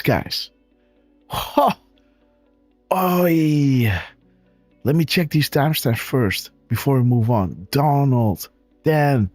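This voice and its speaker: Excitable Dutch-accented man hosting a stream. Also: medium pitch, no echo. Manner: excitable, exclaiming, talks to viewers as guys. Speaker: live show host. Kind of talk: casual live-stream commentary on images.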